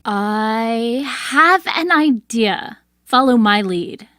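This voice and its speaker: Woman acting slow-spoken, patient wise wife. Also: on the high side, lively intonation, a bit bright, no room sound, studio quality, full character voice. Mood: resolute. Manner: measured, deliberate. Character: spider god's wise wife, patient and pleading. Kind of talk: storybook audio drama acting.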